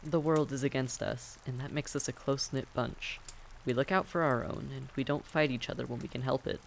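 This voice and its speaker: A man's voice: mid-range voice, steady tone, brisk, vocal fry, a little hiss or hum, close to an everyday voice. A man playing a friendly, reassuring vampire innkeeper in a fantasy audio roleplay, speaking courteously and conversationally to the listener. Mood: gentle, comforting.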